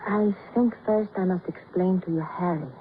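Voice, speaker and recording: An actress playing a melancholy young woman with a foreign accent in an old radio crime drama, speaking in formal, deliberate, unidiomatic English. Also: fairly low pitch, lightly acted. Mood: earnest, melancholy. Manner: formal, deliberate, non-native phrasing. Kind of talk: 1940s-50s radio acting. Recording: muffled, faintly noisy old radio broadcast.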